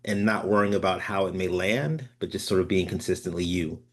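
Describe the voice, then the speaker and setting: A man with a somewhat low voice speaks reflectively on a video call. He pauses on "uh" and repeats "just" as he works out his point. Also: steady tone, a bit bright. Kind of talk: casual group video call.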